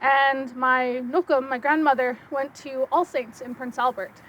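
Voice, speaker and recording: A young woman speaking English with a slight French accent, giving an excitable conference talk with quick bursts and many fillers. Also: high voice, lo-fi sound, slight background noise. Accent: French-tinged Canadian English. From Saskatchewan, Canada. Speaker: guest speaker.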